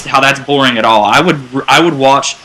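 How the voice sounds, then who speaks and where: A man's voice: medium pitch, fairly quick, sharp tone. A man chatting casually as a panelist on a fan radio show.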